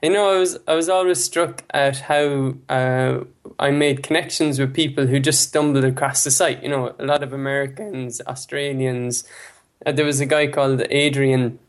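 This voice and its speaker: A man's voice: mid-range voice, steady tone, moderate pace. An Irish man in his thirties, a native Irish speaker from the west of Ireland, chatting loosely as a guest over a Skype call. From Ireland (County Clare). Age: 30s. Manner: chatty, many fillers, laughs easily. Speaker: guest, language-learning founder. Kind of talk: casual interview talk.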